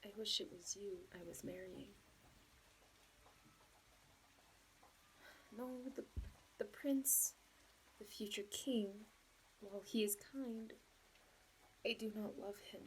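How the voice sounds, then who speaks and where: A woman's voice: mid-range voice, a little slow, long pauses, slight background noise, lightly acted, poor audio. A woman playing an anxious, lovelorn young princess bride in a romantic audio roleplay, speaking formally and hesitantly. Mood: lovelorn, distressed. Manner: formal regal phrasing, hesitant, breathy pleading.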